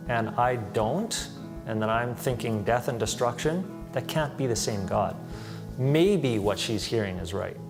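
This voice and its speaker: A man giving a personal testimony, recounting his experience deliberately and searching for words. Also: mid-range voice. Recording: music bed under the voice. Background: background music.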